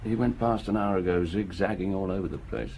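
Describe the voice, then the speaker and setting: A man's voice: deep voice, steady tone, brisk, gravelly, darkish tone, slight background noise. An actor playing a dutiful junior police officer in a radio drama, deferential to his chief.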